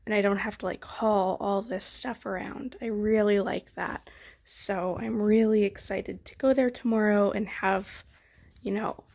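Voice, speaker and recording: Young female vlogger with a cold, nasal and husky, slow, soft chatty delivery at home. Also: medium pitch, steady tone. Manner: soft-spoken, chatty, often trailing into whispers. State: sick, sniffly and nasal. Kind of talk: casual chat to camera. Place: home studio.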